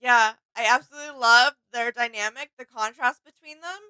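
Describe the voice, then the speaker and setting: A woman's voice: on the high side, a little fast, bright, forceful tone, smooth, no room sound. A woman co-hosting a reaction video, talking in a casual, conversational way.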